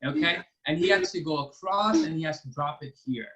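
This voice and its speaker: A man's voice: fairly high pitch, fairly quick, pushed and bright, lo-fi sound. A man hosting a children's storytime and trivia over a video call, explaining step by step in a patient, encouraging way. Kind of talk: casual teaching to children.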